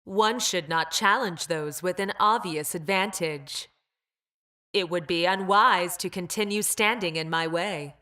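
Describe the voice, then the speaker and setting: An actress playing a cold, logical engineered creature in a video game, speaking in a deadpan, precise and formal way. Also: female voice, on the low side, measured pace. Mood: calm, confident. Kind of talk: video game character voice lines.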